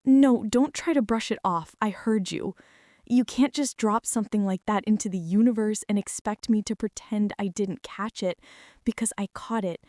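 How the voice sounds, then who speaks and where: A woman's voice: mid-range voice, moderate pace, darkish tone, no echo, clean studio sound, natural voice. A woman playing a bossy, flustered college girl confessing a secret crush in a dramatic, teasing girlfriend ASMR roleplay. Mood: incredulous, flustered. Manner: dramatic, teasing, breathy whispers mixed with indignant exclamations.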